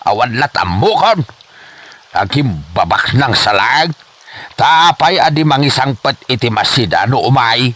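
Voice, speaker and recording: A man's voice: mid-range voice, expressive, a little slow, a bit bright. A man playing a scolding, sarcastic father in an Ilocano comedy drama, with an Ilocano accent and theatrical nagging delivery. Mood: exasperated. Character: exasperated, scolding father of an ambitious daughter. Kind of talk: Ilocano comedy radio-style drama acting.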